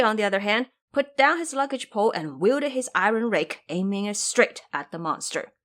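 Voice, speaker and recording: A woman narrating a scripted story with expressive, measured, dramatic storyteller delivery. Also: mid-range voice.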